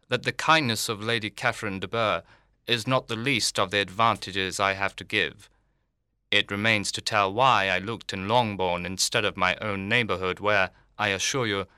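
A man's voice: fairly low pitch, steady tone, normal speed, bright, forceful tone, clean tone, no echo, clean studio sound. A man playing a pompous, self-satisfied clergyman in a literary audiobook, speaking in formal, long-winded sentences. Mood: self-important. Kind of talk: dramatised literary audiobook reading.

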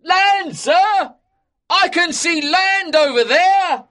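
A woman voicing an anxious young male sailor in a storybook reading, calling out excitedly. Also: extremely high pitch, drawn-out words, voice pushed up, heavily acted. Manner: excited, shouting.

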